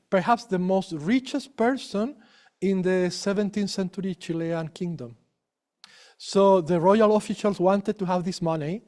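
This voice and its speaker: A man's voice: sits high, darkish tone. A man giving an academic conference talk, restarting phrases often and checking in with tag questions. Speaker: conference presenter. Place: lecture room.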